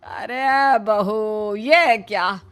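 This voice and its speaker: Gentle older mother played by a woman, worried, coaxing, cartoon comedy, light music bed. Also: medium pitch, very slow. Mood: worried, chiding. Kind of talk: Hindi cartoon comedy voice acting.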